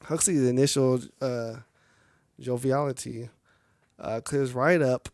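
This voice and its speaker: Mid-pitched man narrating a visual novel. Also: fairly even intonation, slow, darkish tone, no room sound. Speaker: streamer reading a visual novel aloud. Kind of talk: scripted game narration. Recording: music faintly behind the voice. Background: music.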